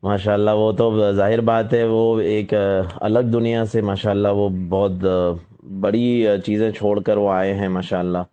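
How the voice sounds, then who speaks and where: Man, deferential caller, mellow low voice, gentle advisory tone with frequent hesitations. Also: level tone, unhurried, few pauses, smooth. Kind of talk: phone conversation. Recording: muffled lo-fi phone call recording.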